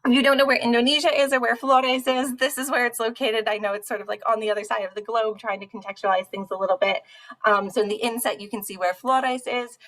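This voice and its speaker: A woman's voice: on the high side, steady tone, fast talker, a bit bright. A young adult woman giving an informal academic talk as a linguist, explaining things in a conversational way with frequent asides. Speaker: linguist giving a panel presentation.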